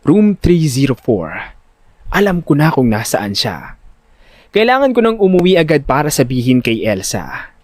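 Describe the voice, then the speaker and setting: A man narrating a first-person audio drama in an expressive, suspenseful, scripted storytelling style. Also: on the high side, normal speed, pauses often, dry recording.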